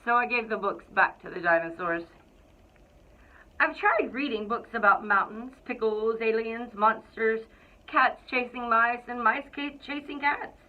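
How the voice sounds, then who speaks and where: A woman reading a children's picture book aloud to school students in an expressive, deliberate storytelling way. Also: on the high side. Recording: thin, phone-like narrow-band sound.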